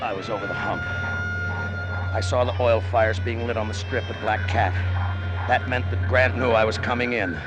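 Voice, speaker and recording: An actor playing a desperate, terrified crooked pilot in an overwrought old-time radio drama style. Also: male voice, medium pitch, steady tone, normal speed, over loud music, natural voice, low-quality recording. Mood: tense narration. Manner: overwrought, breathless intensity. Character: desperate, terrified crooked pilot telling his own tale.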